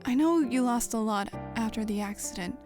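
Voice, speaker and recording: A woman's voice: a little high, moderate pace, over music, close to an everyday voice. A woman voicing a sassy young female pegasus pony in an audio story, with a wry, informal delivery. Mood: earnest, affectionate. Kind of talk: scripted audio story acting.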